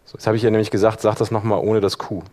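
A middle-aged man presenting a workshop, explaining software live in an informal way and thinking aloud as he demonstrates. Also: lowish voice, level tone, very fast. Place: indoor workshop room, seated at a computer.

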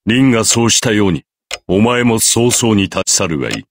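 An actor playing a cold, imposing middle-aged priest in an anime visual novel, speaking in a measured, condescending way. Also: male voice, fairly low pitch, lively intonation, slight background noise, lightly acted. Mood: cold, dismissive.

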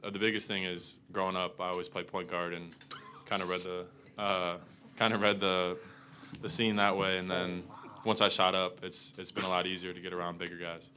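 A young man, a college basketball player, answering in a relaxed, slightly sheepish way into a table mic. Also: deep voice, normal speed, a little hiss or hum. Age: young adult. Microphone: table mic in front.

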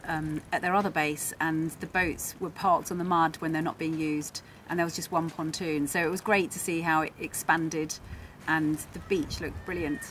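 A woman in her forties narrating a casual sailing travel vlog, talking to camera. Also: fairly low pitch. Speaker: travel vlogger, sailor. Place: outdoors on a harbour quayside. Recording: noisy outdoor waterside ambience.

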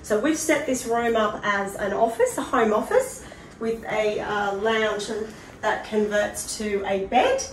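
A woman's voice: medium pitch, lively intonation, slow pace. A middle-aged Australian woman giving a casual, conversational and enthusiastic walk-through tour as a presenter. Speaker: presenter of a prize home tour. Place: walking through hard-surfaced apartment rooms. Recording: roomy echo, distant mic, background noise.

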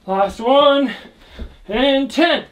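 A man's voice: very high voice, drawn-out words, a little hiss or hum. A young man teaching a kickboxing workout, calling out combinations while he demonstrates the moves in a small indoor room. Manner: calls out combos while demonstrating, energetic. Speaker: kickboxing instructor. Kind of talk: workout instruction. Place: indoor room with trophy shelves.

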